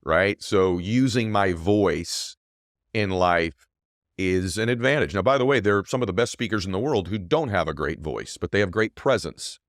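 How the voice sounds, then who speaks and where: A middle-aged man with a deep voice, hosting a show and giving an emphatic motivational monologue that often asks "right?". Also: lively intonation, moderate pace, no room sound, studio quality. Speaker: podcast host.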